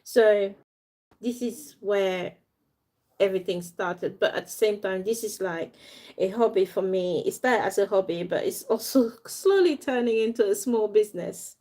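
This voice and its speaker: A woman's voice: mid-range voice, measured pace, warm tone. Chatty young woman from Africa, live-streaming. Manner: chatty, rambling, greets and thanks viewers as she talks. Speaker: doll maker and fashion designer. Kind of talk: casual live-stream chat with viewers.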